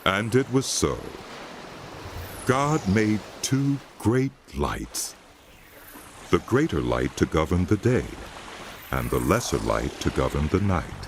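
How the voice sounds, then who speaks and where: A man with an extremely low voice narrates scripture as a solemn drama. His delivery is slow and deliberate, with sweeping rises and falls, set over clearly audible music. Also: sing-song, background noise.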